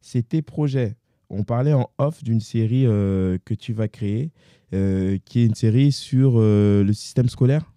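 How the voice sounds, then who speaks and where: A man's voice: on the low side, unhurried, mellow, no room sound, studio quality. A man hosting a conversational interview, feeling his way through long, reflective questions and readily agreeing. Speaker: interviewer, host.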